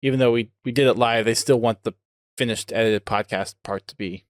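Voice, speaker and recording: A man's voice: medium pitch, fairly even intonation, fairly quick, no echo, studio quality. A man hosting a podcast, chatting casually with a guest. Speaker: podcast host interviewing a guest.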